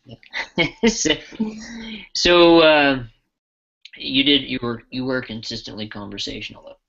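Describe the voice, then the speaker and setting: A man giving a casual live software demo as a trainer, talking loosely with frequent restarts. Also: medium pitch, lively intonation, measured pace, vocal fry, muffled recording.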